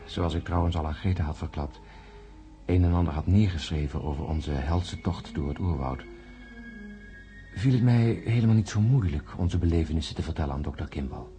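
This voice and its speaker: A man playing a grim astronaut who narrates his ordeal in a radio drama, grave and measured. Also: deep voice, mellow, soft background music, natural voice. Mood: grave, reflective. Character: grim astronaut Peter recounting his ordeal and warning of doom. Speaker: narrator and main character.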